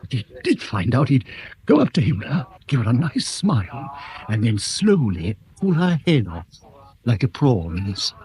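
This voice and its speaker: Radio drama gossip, English: mid-pitched, swooping intonation, droll, jovial and confiding. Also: male voice, big pitch swings, measured pace, a little mellow. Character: jovial, gossipy, mischievous small-town man.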